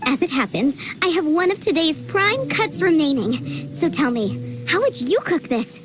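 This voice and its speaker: A woman playing a proud, stubborn village head chef in a video game, brisk and opinionated. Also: high voice, big pitch swings. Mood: challenging. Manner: brisk, opinionated, self-assured. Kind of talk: animated video game voice acting. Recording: game music behind the voice.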